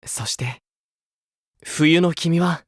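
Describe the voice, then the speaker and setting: A man voicing a gentle, kindly older-brotherly idol with a romantic streak in an anime game, speaking softly. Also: a little high, husky, no room sound, clean studio sound, exaggerated voice. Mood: romantic, poetic. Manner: soft, kindly, unhurried.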